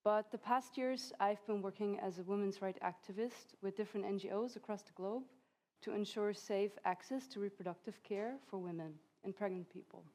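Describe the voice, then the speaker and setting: A woman's voice: medium pitch, normal speed, dark tone. A woman in her thirties whose first language is Hungarian, giving an academic talk at a conference with light laughs between points. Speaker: conference speaker. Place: conference hall.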